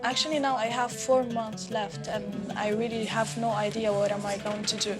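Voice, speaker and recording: Relaxed young woman from Syria. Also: on the high side, moderate pace, music in the background. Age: young adult. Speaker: interviewee. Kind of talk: casual conversation. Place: indoors, cafe.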